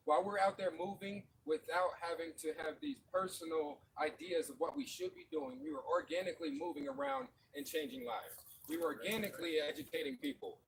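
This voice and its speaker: Fervent high-voiced man from Florida. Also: a little hiss or hum, poor audio. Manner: fervent, profane, slangy. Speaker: protest marcher. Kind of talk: impassioned street speech. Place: outdoors at a night street protest.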